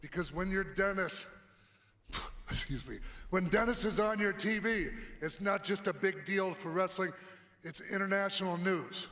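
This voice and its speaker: A man giving a slow, deliberate speech at a ceremony. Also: high-pitched, sharp tone. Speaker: ceremony speaker. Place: large arena hall. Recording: long hall reverb.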